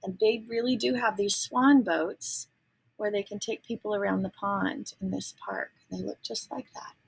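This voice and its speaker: Very husky woman reading a picture book. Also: medium pitch, fairly even intonation, normal speed, rough recording. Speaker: storybook reader. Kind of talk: children's read-aloud with explanatory asides.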